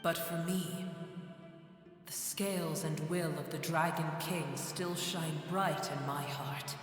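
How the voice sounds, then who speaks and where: An actor playing an ancient, proud and bitter dragon in a video game, booming, grandiose and scornful. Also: male voice, steady tone, takes it slowly. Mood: solemn, bitter. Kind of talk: video game character acting. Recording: cavernous echo with long reverb. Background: game music.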